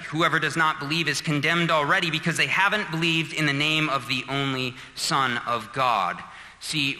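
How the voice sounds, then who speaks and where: A man's voice: fairly high pitch, pushed and bright, big-room sound. A man in his thirties preaching a sermon, telling a personal story and building to fervent, emphatic appeals. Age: 30s. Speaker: pastor.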